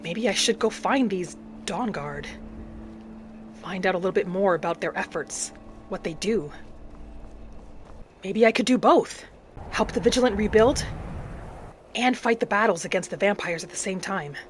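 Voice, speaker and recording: A woman voicing an earnest, vengeful young battle mage in a dramatic, deliberate first-person fantasy roleplay. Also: medium pitch, animated, slight background noise, close to an everyday voice. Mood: resolute, brooding. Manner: dramatic, deliberate, thinking aloud in character.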